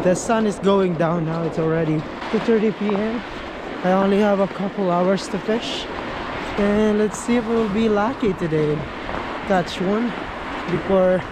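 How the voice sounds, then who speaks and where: A young man with a sore throat, chatting casually to viewers as he vlogs from a frozen lake with a camera at arm's length. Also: sits high. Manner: upbeat, chatty, hypes viewers. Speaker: fishing vlogger. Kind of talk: casual vlog narration. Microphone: camera held at arm's length. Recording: outdoor wind and ambient noise.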